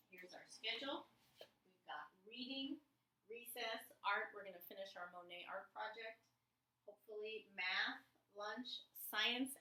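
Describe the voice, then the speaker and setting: A woman, an elementary teacher reading aloud to children with expressive, chatty asides. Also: mid-range voice, poor audio. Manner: expressive, chatty, exclaims to young listeners. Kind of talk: read-aloud lesson for children with casual asides.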